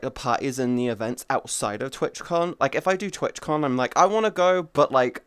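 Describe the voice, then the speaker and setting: Thirtysomething guy streamer rambling to chat, cussing a lot, smooth voice, dry close sound. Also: on the high side, no room sound. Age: 30s. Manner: rambling, swears freely, greets chatters by name. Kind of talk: casual chat with viewers.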